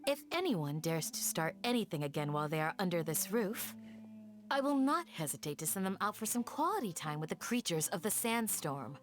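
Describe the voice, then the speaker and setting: A woman voicing a gentle but firm village guardian in an anime game, polite, formal and composed. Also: fairly low pitch, big pitch swings, fairly quick, faint music behind. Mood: politely firm.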